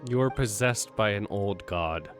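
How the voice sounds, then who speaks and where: A man's voice: fairly low pitch, measured pace, soft background music. A man livestreaming a game, reading story text aloud and adding casual comments.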